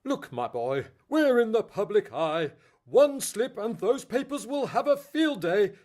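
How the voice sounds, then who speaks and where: Woman doing a slow, grumbling, weary king. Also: on the high side, very animated, darkish tone. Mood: admonishing. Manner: grumbling, advising. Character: exasperated older king, dutiful, weary father of prince.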